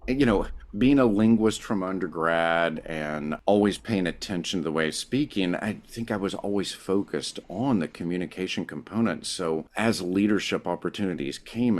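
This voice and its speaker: A middle-aged man with a Southern drawl from East Tennessee talks in a very low voice. His pitch jumps around a lot as he plays out little scenes from his own stories. It sounds like a casual podcast chat. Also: normal speed, hardly any pauses. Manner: animated storyteller who acts out his own quotes. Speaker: marketing professor, podcast guest. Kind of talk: casual conversation.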